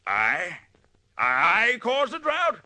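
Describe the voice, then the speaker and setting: An actor playing a pompous, stammering older demagogue with a rural American accent in a 1940s radio serial. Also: male voice, sits high, huge pitch swings, extremely slow, pushed and bright, heavily acted. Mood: flustered bluster. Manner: folksy, pompous, stammering bluster. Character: blustering folksy crooked older politician, a scheming demagogue.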